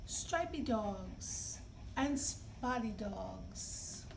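A middle-aged woman hosting story time, speaking to young children in simple, expressive phrases. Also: mid-range voice, drawn-out words. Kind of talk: read-aloud and talk for young children. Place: indoor library children's room. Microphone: camera a few feet away. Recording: distant camera mic, clear background noise.

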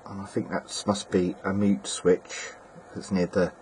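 A man giving a casual, plain-spoken and slightly halting hobbyist demonstration, presenting his own equipment. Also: low-pitched, flat delivery, darkish tone. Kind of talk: casual hobbyist demo narration. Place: indoors at a workbench. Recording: clear background noise, amateur camera audio.

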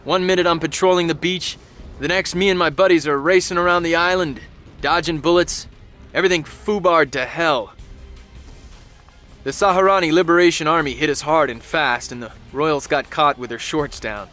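An actor playing a cynical young US Army private with an American accent, narrating in a wry, profane, slangy way. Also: male voice, a little high, normal speed, sharp tone. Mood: tense, urgent. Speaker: soldier narrator. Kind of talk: dramatic first-person game narration. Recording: music behind the voice.

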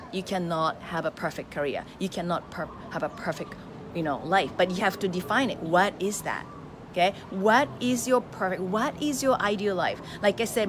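A middle-aged Japanese woman with a Japanese accent, talking live to followers on a phone held at arm's length on an outdoor veranda, animated and asking her listeners questions. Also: medium pitch, background noise. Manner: animated, exclaims, gestures with hands, asks listeners questions. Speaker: face yoga teacher. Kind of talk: live motivational talk to followers.

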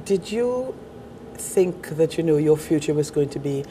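A woman hosting a TV talk show, chatty and quick with her questions. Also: low-pitched, animated, dark tone, slight background noise. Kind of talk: TV interview. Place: TV studio set.

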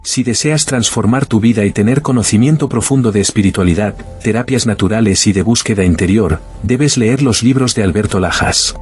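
A man's voice: on the low side, a little fast, darkish tone, husky. A man reading a short, persuasive book advertisement as a voice-over. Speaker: ad announcer. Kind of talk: promotional voice-over. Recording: voice-over with music behind.

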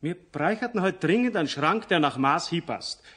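Casting: bewildered Bavarian tiler, plain-spoken, husky, fairly high voice. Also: male voice, lively intonation, normal speed, fairly bright tone. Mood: matter-of-fact. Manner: conversational, bewildered. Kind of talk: children's radio play acting.

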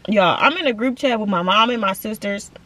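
A woman's voice: medium pitch, a little fast, bright, forceful tone, poor audio. Chatty young woman vlogging from a car. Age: 20s. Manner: chatty, slangy, addresses viewers as y'all. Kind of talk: casual chat to camera. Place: inside a parked car.

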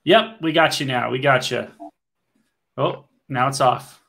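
A man's voice: mid-range voice, a little slow, vocal fry, a bit bright. A man in his thirties hosting a live swim clinic stream from home on a headset, loose and chatty, talking to his viewers. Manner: chatty, loose, with fillers, addresses the chat. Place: home room, video call.

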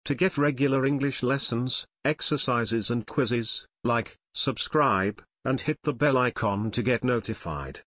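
A man narrating a scripted English lesson, reading slide text slowly and evenly as the channel's host. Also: mid-range voice, fairly bright tone, no room sound, clean studio sound. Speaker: English teacher.

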